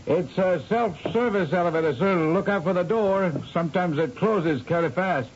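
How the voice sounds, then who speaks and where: A man's voice: high voice, moderate pace, close to an everyday voice. An actor playing a polite, helpful apartment doorman in a 1970s radio drama, courteous and obliging. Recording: dull, faintly noisy old radio recording.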